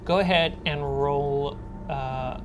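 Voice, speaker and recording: A woman narrating as game master in a tabletop role-playing game, halting mid-sentence, with music behind her. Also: low voice. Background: background music.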